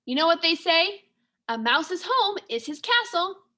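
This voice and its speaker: A woman reading a storybook as a timid, thoughtful male mouse, with earnest, expressive delivery. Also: extremely high pitch, measured pace, voice pushed up, full character voice. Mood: wistful. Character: timid, thoughtful male library mouse who craves coziness.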